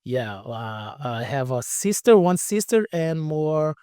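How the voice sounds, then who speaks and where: Halting Brazilian man telling personal stories. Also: on the high side, sing-song, dark tone, no echo, clean studio sound. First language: Portuguese. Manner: halting non-native English, repeats words. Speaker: podcast guest, radio station owner. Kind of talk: casual personal storytelling.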